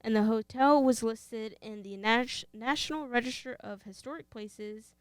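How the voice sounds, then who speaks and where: A woman's voice: fairly high pitch, normal speed, clean tone, no room sound. A young woman with a Texan accent, co-hosting a podcast in a casual, playful way and reading out facts. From Texas. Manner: playful, teasing. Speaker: podcast co-host.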